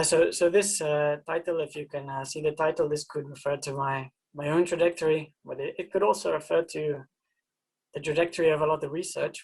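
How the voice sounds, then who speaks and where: A man from New Zealand, a university professor, gives an informal presentation talk in a halting way, often repeating words as he searches for them. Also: fairly high pitch, gravelly, breathy edge, low-quality recording.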